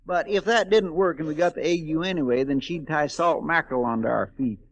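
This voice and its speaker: An actor playing a folksy, talkative frontier sidekick with a homespun Southern US drawl in a 1950s radio western. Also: male voice, on the high side, a little fast, poor audio. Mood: rambling, folksy.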